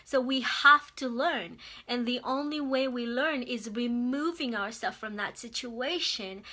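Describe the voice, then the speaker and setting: A woman's voice: on the high side, a little slow, sharp tone. A woman from Mozambique giving a heartfelt motivational talk as a guest speaker on a livestream, earnest and repeating phrases for emphasis. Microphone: phone or webcam livestream.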